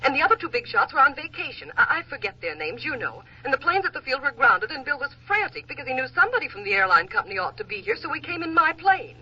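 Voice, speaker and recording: An actress playing a headstrong, breezy career-woman flyer in a 1940s radio drama, brisk, emphatic and imperious. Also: sits high, big pitch swings. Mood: flustered. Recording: dull vintage radio drama recording.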